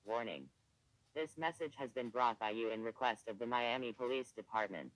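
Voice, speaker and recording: A man's voice: mid-range voice, moderate pace, a bit bright, a little hiss or hum, rough recording. A synthetic male voice reading a formal, scripted emergency broadcast announcement as an alert announcer.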